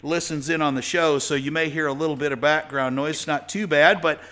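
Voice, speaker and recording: A man's voice: fairly high pitch, steady tone, brisk, sharp tone. A man hosting his own radio show, chatting with a guest in a folksy way, full of fillers and restarts. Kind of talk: casual talk-radio interview.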